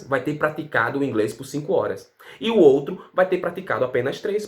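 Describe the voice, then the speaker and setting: Brazilian guy teaching english online, chatty, asks himself questions, keeps saying "tá?". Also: a little high. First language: Portuguese. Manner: animated, rhetorical questions, frequent "tá?" tags. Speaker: language teacher. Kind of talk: casual video lesson.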